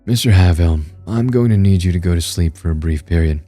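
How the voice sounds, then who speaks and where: A man's voice: low-pitched, brisk, warm tone, faint music behind. A man voicing a cold, formal body-snatching entity. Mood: calm formal. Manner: formal, precise, contemptuous. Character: cold calm male parasite entity, formal and commanding.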